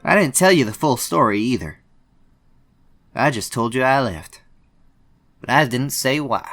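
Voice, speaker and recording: A man's voice: lowish voice, a bit bright, reverberant, in a large hall. A man voicing an indignant young boy bunny in an amateur cartoon dub, with a folksy, sassy drawl. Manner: folksy, sassy, scolding drawl with y'all and darn. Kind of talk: scripted amateur comic dub dialogue.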